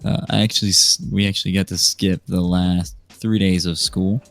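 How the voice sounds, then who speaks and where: A nineteen-year-old American man speaks in a deep voice as a podcast guest. He tells stories casually and hesitantly, with faint music underneath. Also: level tone, normal speed, creaky. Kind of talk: casual storytelling chat. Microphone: remote voice call microphone. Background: soft music.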